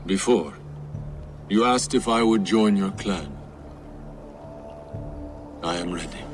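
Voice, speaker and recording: A man's voice: deep voice, expressive, takes it slowly, soft background music. A man playing a grieving, weary Norse berserker in a video game, speaking solemnly and poetically. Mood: resolute.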